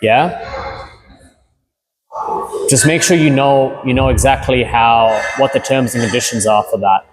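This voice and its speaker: A man's voice: medium pitch, unhurried, pauses often. Hesitant man, faint background hiss. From Kenya. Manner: hesitant, repeats words while thinking. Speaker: gym owner. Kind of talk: casual interview answers.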